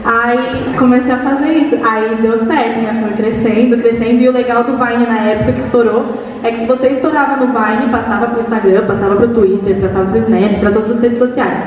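An eighteen-year-old woman chats in a teasing, slightly shy way. Her voice is fairly high. She is recorded from a distance in an echoey hall, and the sound is a little dull. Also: steady tone, brisk, a little mellow, slight background noise. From São Paulo state, Brazil. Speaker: panel speaker. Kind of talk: casual storytelling on stage. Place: large hall stage. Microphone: handheld mic. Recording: muffled echoey hall from far off.

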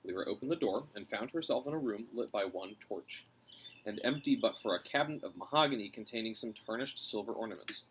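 A man's voice: lowish voice, animated, brisk, slight background noise, low-quality recording. An American man in his thirties narrating a children's novel, reading aloud on a porch. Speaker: storybook reader. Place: covered house porch, outdoors.

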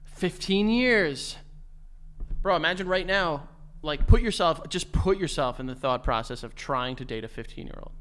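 A man in his thirties co-hosts a casual podcast, his slightly high voice swinging up and down as he riffs and swears freely. He sounds loose and animated. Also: moderate pace. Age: 30s. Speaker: podcast co-host. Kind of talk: casual chat.

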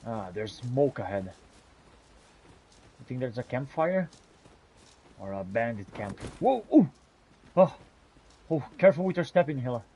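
Mellow man roleplaying through a fantasy game. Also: medium pitch, sing-song, measured pace, pauses often, highs cut off, a little hiss or hum. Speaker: gamer roleplaying a character in a video game. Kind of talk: in-character roleplay narration of fantasy gameplay.

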